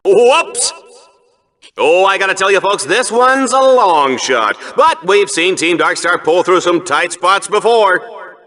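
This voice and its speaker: An actor playing a smarmy, gleefully callous sportscaster in a video game, commentating in an over-the-top, theatrical announcer style with showy emphasis. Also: male voice, high-pitched, big pitch swings, slow, sharp tone, exaggerated voice. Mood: excited. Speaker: arena show commentator.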